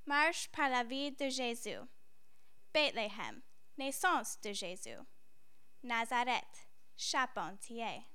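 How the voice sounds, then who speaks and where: A woman's voice: on the high side, animated, takes it slowly, pauses often, bright, forceful tone, clean tone. A woman giving a scripted recitation in short, separate phrases.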